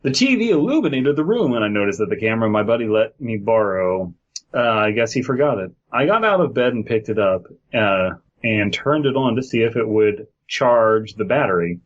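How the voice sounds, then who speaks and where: A man's voice: lowish voice, lively intonation, brisk, lo-fi sound. A man co-hosting a podcast, reading a horror story aloud with casual, crude, joking banter. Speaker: podcast co-host.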